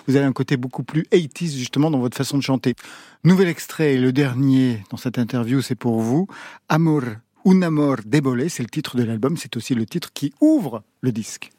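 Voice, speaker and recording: A man's voice: mid-range voice, normal speed, mellow, no room sound. A man hosting a radio interview, asking playful, teasing questions. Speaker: radio host.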